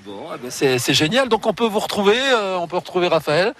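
A man's voice: sits high, big pitch swings, brisk, a bit bright. A man working as a roving radio reporter, chatting live with people at a town fair. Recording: light background noise at a fair.

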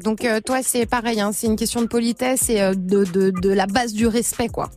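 A woman's voice: medium pitch, steady tone, normal speed, a little mellow, soft background music. A woman hosting a live radio phone-in from a studio, upbeat and friendly with her callers. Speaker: radio call-in host.

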